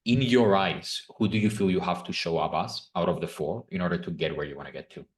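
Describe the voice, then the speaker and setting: A man's voice: deep voice, brisk, echoey room. A man with a Greek accent running a live sales call, coaching and leading with questions, often checking in with "right?". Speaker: sales closer.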